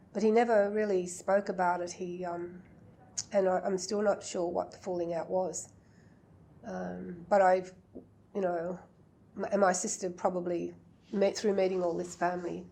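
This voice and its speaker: Older lady, flat gravelly voice, halting and reflective, thinks aloud. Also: on the low side. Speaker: interviewee. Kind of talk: documentary interview.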